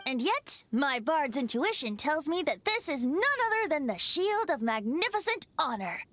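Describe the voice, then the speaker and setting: A woman's voice: high-pitched, sing-song, normal speed, fairly bright tone, a little hiss or hum. A woman voicing a playful, boyish young male bard in a video game, with a theatrical, eloquent, lilting delivery. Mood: grand, stirring.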